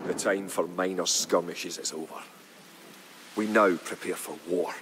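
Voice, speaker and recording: Low-voiced, grave clansman. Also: fairly even intonation. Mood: resolute. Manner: solemn, measured, dramatic. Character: grave, resolute medieval Scottish clansman recounting war. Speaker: campaign narrator. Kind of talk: dramatic scripted storytelling narration. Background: music behind the voice.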